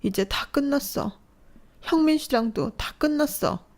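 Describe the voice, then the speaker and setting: Woman doing a young adult daughter, raised pitch, trembling, pleading, emotional. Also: animated, unhurried. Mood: resigned. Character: fragile young adult daughter, upset and tearful.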